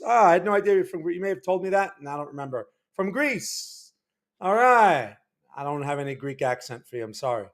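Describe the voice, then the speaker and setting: A man hosting a market analysis livestream in a loose, chatty way, greeting viewers and restarting his sentences. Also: high voice. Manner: chatty, reads out chat names, loose restarts. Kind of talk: casual livestream talk. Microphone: desk microphone.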